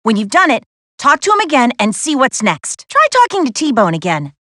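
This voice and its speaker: A woman voicing a confident, spirited young adventurer in a video game, briskly giving missions with an earnest, lightly wry manner. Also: fairly high pitch, huge pitch swings, pushed and bright, dry recording, studio quality, full character voice. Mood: friendly, instructive. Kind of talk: video game character voice acting.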